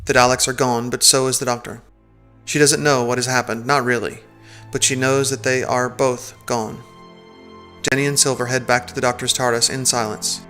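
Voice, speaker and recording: A man's voice: medium pitch, flat delivery, moderate pace, pushed and bright, faint music behind. A man narrating a scripted audio drama in the present tense.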